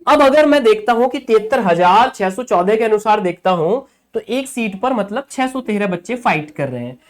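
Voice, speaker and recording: A man's voice: high voice, animated, normal speed. A male coaching teacher giving an online exam-prep lesson, who repeats key points, checks in with listeners often and addresses viewers familiarly as brothers.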